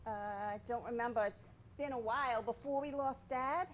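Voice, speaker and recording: A woman's voice: a little high, moderate pace, a little hiss or hum, natural voice. An actress playing a breezy, joking older mother in a staged comedy reading. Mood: matter-of-fact.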